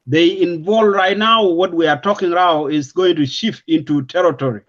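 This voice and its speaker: Male political commentator, South Sudanese accent, high-set voice, emphatic and repetitive explainer, muffled low-fidelity recording. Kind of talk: unscripted explanatory political discussion. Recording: dull, lo-fi audio.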